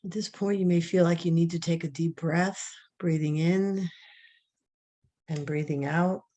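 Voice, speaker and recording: A woman leading a guided relaxation meditation, giving soft, calm, drawn-out breathing instructions. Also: fairly low pitch.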